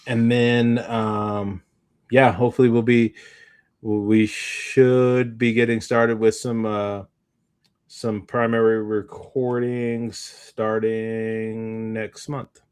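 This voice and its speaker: A man chatting casually as a podcast co-host, halting and thinking aloud as he rambles along. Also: lowish voice, level tone, a little mellow, smooth. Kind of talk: casual unscripted chat.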